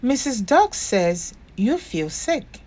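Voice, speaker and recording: Caring storybook woman, acted by a female reader: gentle, coaxing, very unhurried, animated pitch. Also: exaggerated voice. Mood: concerned. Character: caring woman looking after her little bear.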